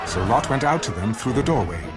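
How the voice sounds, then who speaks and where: Animated solemn male narrator over music. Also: medium pitch, a loud crowd. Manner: solemn, measured storytelling. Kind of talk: dramatic scripted scripture narration.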